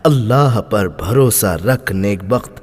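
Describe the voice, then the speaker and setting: A man's voice: fairly low pitch, takes it slowly, darkish tone. A man voicing a humble, devout baker in a story, with an earnest, respectful manner. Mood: confident. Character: humble, devout male baker, calmly trusting in fate. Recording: long hall-like reverb.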